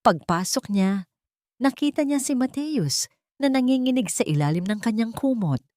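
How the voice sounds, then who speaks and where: A woman's voice: a little high, animated, normal speed, darkish tone, no echo, studio quality. A woman narrating a scripted drama audiobook in a solemn, suspenseful manner.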